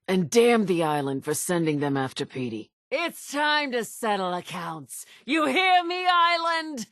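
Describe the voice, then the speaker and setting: A slow-talking, vengeful old island woman. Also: low-pitched, no room sound, clean studio sound, heavily acted. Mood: angry, defiant. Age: older. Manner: dramatic, folksy, deliberate storytelling. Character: vengeful old island woman, storyteller mourning her family. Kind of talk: scripted game character dialogue, theatrical storytelling.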